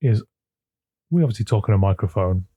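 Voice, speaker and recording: A man co-hosting a podcast in casual chat, with a smooth voice. He chuckles often and repeats words as he gets going, speaking into a desk microphone at his home computer. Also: fairly low pitch, expressive, fairly quick, mellow, lo-fi sound. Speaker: podcast co-host.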